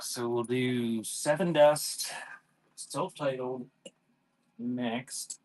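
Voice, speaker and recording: A man speaks at a slow, unhurried pace in a casual live-auction style. His delivery is laid-back and conversational, and the sound is dry and close, with little room to it. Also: mid-range voice, steady tone, darkish tone, no echo. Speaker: live auction seller and host.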